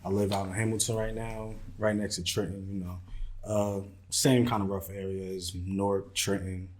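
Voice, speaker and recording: A man's voice: low-pitched, fairly even intonation, normal speed. A man, talking plainly as a podcast guest and tacking on "you know". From North New Jersey. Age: 20s. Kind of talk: casual interview chat.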